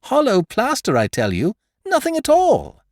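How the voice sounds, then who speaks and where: A man voicing an excitable young male amateur detective, brash and mocking as he shows off his discovery. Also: mid-range voice, a little slow, no room sound, clean studio sound. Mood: exultant.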